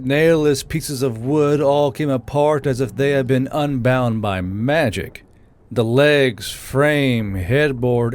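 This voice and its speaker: A man's voice: medium pitch, a little mellow. A man narrating a fantasy audiobook as the channel's host, in an informal storytelling style. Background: music.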